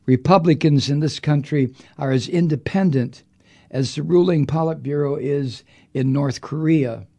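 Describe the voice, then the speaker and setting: A man with a gravelly, mellow-toned voice rants on his podcast. He is angry and profane, and he hammers on his words. His delivery is political commentary, with a dark timbre underneath. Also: a little high, moderate pace. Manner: angry, profane, emphatic ranting. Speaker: podcast host.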